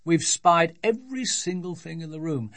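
A woman voicing a weary, put-upon father in a children's storybook, with drawn-out, desperate complaining. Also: fairly low pitch, lively intonation, voice pushed up.

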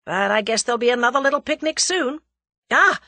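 A woman's voice: on the high side, fast talker, fairly bright tone, dry recording, pitched above the performer's own voice. A woman voicing a brash, slangy, hot-tempered young man. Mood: agitated.

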